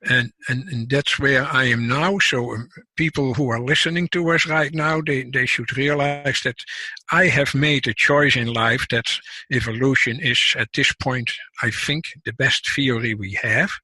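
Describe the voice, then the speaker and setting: Dutch-accented man, bright and dry. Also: mid-range voice, steady tone, slightly rough, no echo. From Netherlands. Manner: measured, explanatory, frequent restarts. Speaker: guest scientist and author. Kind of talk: casual interview talk.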